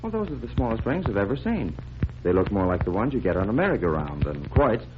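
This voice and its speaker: A man's voice: lowish voice, big pitch swings, fast talker, background noise. A man playing a puzzled, skeptical friend with questioning banter in 1940s radio drama style. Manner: questioning, mock-stern, bantering. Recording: dull vintage radio recording, music behind.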